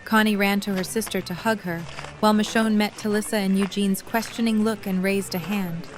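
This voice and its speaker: A woman's voice: medium pitch, fairly even intonation, a little fast. A woman narrating a scripted story for an audio drama.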